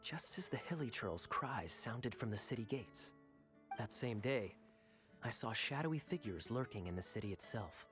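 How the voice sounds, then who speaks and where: A man's voice: mid-range voice, animated, over music, close to an everyday voice. Man playing a suave, sly young knight captain. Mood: sly, measured.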